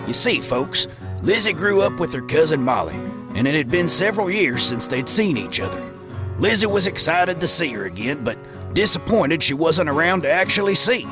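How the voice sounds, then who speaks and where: A man's voice: a little high, sing-song, moderate pace. A man narrating a scripted comic story in a folksy storytelling manner. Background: guitar music.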